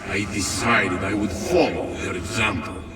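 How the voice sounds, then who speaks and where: An actor playing a devoted warrior giving a solemn, halting eulogy in a game cutscene. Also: male voice, lowish voice, fairly even intonation, close to an everyday voice. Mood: grieving, halting. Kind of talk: dramatic eulogy speech. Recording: echoey hall, distant, music over it.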